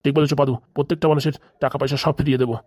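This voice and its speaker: A woman's voice: rumbling low, little pitch movement, rapid-fire, darkish tone, dry recording, studio quality. A woman voicing a stern, determined male police boss in a comedy cartoon, terse and commanding.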